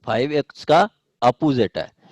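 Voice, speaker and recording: Male Pakistani lecturer, mid-pitched, dry sound; informal, repetitive, example-led explaining with frequent check-ins. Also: slow, darkish tone, no echo. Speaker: law teacher. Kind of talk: informal law lecture with questions.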